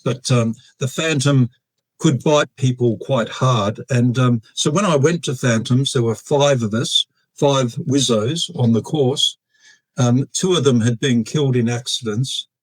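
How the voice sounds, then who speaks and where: A man in his sixties with an Australian accent, a guest telling long stories in a casual interview, halting often with fillers and restarts. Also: mid-range voice, no room sound, poor audio. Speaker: guest, retired air force officer.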